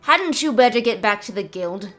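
A woman's voice: a little high, expressive, fairly bright tone. Proud old wizard voiced by a woman, stiff and testy, faint music behind. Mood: lecturing. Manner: measured, prim and huffy. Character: old male wizard, proud and easily annoyed. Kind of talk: storybook reading.

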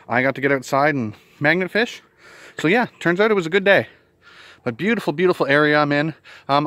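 An upbeat man in his thirties talks straight into a handheld camera held at arm's length, out in the open air on a country bridge. His voice sits a little high for a man, and his chatter is easygoing and friendly. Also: a bit bright, dry recording. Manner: chatty and upbeat, addresses viewers as guys. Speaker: magnet fishing vlogger. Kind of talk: casual outdoor vlog to camera. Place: outdoors on a rural bridge over a creek.

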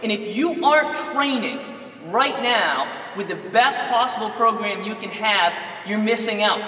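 A young man, a basketball coach, making an urgent, emphatic sales pitch straight to the camera in a large indoor gym. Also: unhurried, bright, forceful tone. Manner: urgent, emphatic, direct address. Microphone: lapel mic. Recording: echoey gym from far off.

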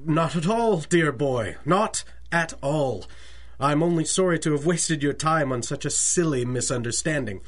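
An actor playing a courteous, apologetic gentleman banker in a 1930s-style radio drama, formal and soothing. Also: fairly high pitch, animated, measured pace.

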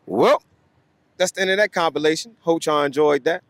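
A man's voice: fairly high pitch, moderate pace, no room sound. Dry-sounding, casual man hosting. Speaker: host. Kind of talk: casual video outro.

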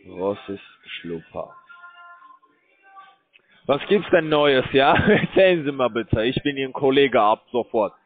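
A man working a scam call center pitch, pushing in broken full of fillers. His voice is mid-pitched and comes through a narrow, tinny phone line. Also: animated, slow pace, pauses often, faint music behind. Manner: broken German, filler-heavy, pushy salesman.

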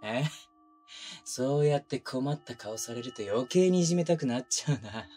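A man plays a sadistic young male interrogator in an ASMR audio drama. His voice is very husky and breathy, with a mellow, dark tone. He coaxes and taunts in whispers, right at the ear, over music. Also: mid-range voice, animated, normal speed. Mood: teasing. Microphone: close binaural microphone.

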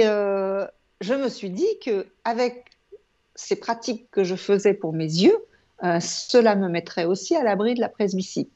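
A woman's voice: mid-range voice, mellow. Woman in her 60s explaining stuff in an interview, emphatic, kinda muffled call sound. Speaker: guest, eye yoga trainer and author. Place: home room with shelves, indoors. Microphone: wired earphones on a video call. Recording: dull, lo-fi video call audio.

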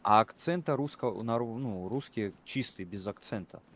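Very low-voiced man, casual gamer chat, crude and rambling, light game noise. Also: moderate pace, a bit bright. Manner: blunt, swears freely, rambling.

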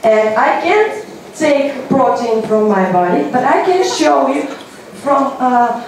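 A Bulgarian woman presents science experiments on stage in a high voice. She speaks slowly and haltingly with a Bulgarian accent, heard from off in a very echoey hall with crowd noise. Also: a little mellow. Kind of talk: kitchen experiment demonstration for a young audience. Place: large hall with a live audience. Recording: echoey hall, distant mic, audience noise.

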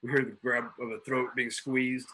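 A man's voice: mid-range voice, level tone, normal speed, pushed and bright, a little hiss or hum. A man narrating a radio drama, reading stage directions and sound cues aloud over a video call. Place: room at home, on a video call.

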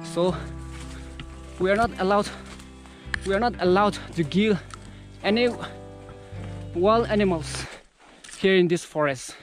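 A man's voice: sits high, measured pace, long pauses, over music. A young man from India narrating a hike outdoors in a mountain forest, talking to a handheld action camera as he walks and points things out. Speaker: hiking vlogger. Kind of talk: casual narration while hiking. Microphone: action camera held at arm's length.

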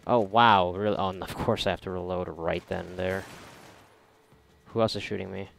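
A man giving casual, reactive commentary while gaming, sarcastic and foul-mouthed, with a gravelly voice. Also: low voice, fairly even intonation, moderate pace, fairly bright tone. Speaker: gamer recording a playthrough. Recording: noisy, game gunfire behind voice. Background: video game gunfire and ambience.

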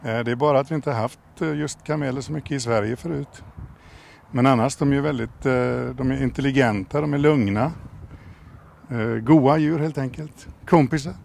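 An older man who runs a camel ranch, talking in an easygoing, halting way outdoors. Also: medium pitch, gravelly, slight background noise. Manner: relaxed, hesitant with many pauses and chuckles. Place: outdoors in a field. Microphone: handheld mic held by interviewer.

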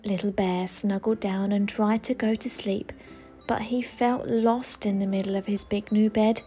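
A woman storyteller reading a children's bedtime story aloud, expressive, with dramatic emphasis. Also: medium pitch, a little slow, faint music behind. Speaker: storyteller, channel host.

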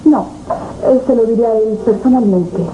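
A young actress playing a proud, guarded fiancée in a 1970s telenovela, curt and hesitant. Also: female voice, fairly high pitch, moderate pace, music in the background, natural voice. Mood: guarded, piqued. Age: young adult. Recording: muffled, noisy old TV tape.

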